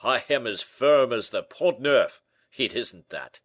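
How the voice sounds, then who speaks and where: A man voicing a vain, rich, big nobleman in an audiobook, slow, emphatic and melancholy. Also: medium pitch, lively intonation, a bit bright, pitched above the performer's own voice. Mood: despairing. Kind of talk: audiobook character acting.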